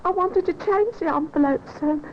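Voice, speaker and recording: An actress playing a timid, deferential young housemaid in an old time radio drama, flustered and pleading. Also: female voice, very high voice, moderate pace. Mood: flustered, apologetic. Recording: muffled, noisy old radio recording.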